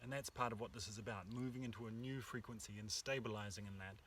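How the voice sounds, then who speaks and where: A man speaks calmly and reflectively, pausing often as he gathers his thoughts. He sits out in the countryside and the mic is some way off, with a faint hum of open air behind him. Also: on the low side, far from the mic. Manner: calm, reflective, hesitant with fillers. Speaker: workshop co-host. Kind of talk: casual spiritual talk. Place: outdoors in the countryside under an oak tree.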